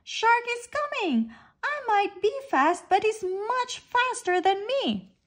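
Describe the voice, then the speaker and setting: A woman's voice: very high voice, lively intonation, takes it slowly, a bit bright, voice pushed up, full character voice. A woman voicing a small speedy sprat fish in a storybook, eager, urgent and exclaiming. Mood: panicked.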